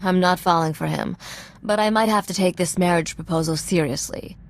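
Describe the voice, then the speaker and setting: Wry, low-voiced royal smuggler woman. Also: a little fast, creaky. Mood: wry, thoughtful. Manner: dry, wry, self-assured. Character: sardonic young female smuggler and exiled royal heiress. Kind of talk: video game dialogue acting.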